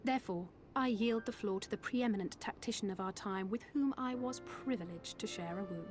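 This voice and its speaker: A woman's voice: mid-range voice, brisk, music in the background, natural voice. A woman playing a young, articulate adventurer of noble bearing in a fantasy game, confident, formal and dryly pointed. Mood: brisk, dry.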